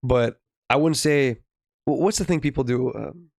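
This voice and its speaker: A young man with a mid-pitched voice speaks in a very dry recording. He is a podcast co-host, thinking out loud casually and haltingly as he builds an argument. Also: vocal fry, dry recording. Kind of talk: casual philosophical discussion.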